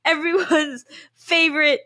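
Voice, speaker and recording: Very high-pitched, giggly woman podcaster. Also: steady tone, unhurried, sharp tone. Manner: giggly, prone to laughing while talking. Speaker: podcast co-host. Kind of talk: casual comedic podcast chat.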